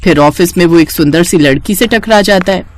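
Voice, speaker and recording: A woman's voice: fairly low pitch, brisk, dry recording. A woman narrating a scripted family story in the manner of a storyteller.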